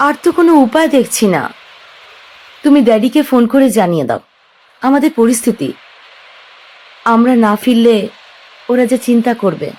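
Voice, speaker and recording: A woman's voice: on the high side, big pitch swings, normal speed, pauses often, a little mellow. A woman playing a stranded young fiancée. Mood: worried. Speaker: Jina. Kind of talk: audio drama acting.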